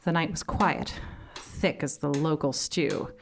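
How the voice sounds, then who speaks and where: A woman playing an intense, frightened investigator, narrating a gothic stage drama in a theatrical, deliberate manner. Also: deep voice, steady tone, exaggerated voice. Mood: brooding, grave. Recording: clearly noisy live theatre recording.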